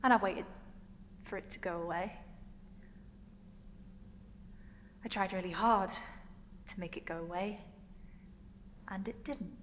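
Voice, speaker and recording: A woman performing a passionate young woman in a dramatic stage monologue, emotional and emphatic, pausing for effect. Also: medium pitch, fairly bright tone, natural voice. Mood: confessional, aching. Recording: echoey hall, noticeable room noise.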